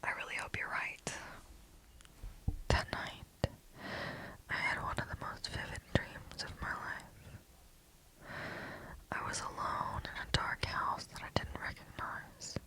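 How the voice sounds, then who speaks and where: A storyteller whispering a first-person story in a soft, hushed voice throughout. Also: takes it slowly, pauses often, low-quality recording.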